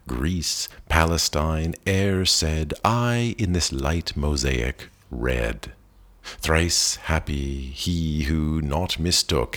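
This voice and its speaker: A man reading a long poem aloud in a measured, expressive way, lifting his voice on exclamations. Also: very deep voice. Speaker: poetry reader. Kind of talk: poetry reading.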